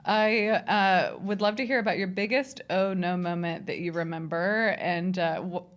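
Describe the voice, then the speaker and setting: A woman's voice: on the low side, steady tone, moderate pace. A woman hosting a podcast, chatting casually and supportively as she interviews a guest. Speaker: podcast host. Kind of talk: conversational interview.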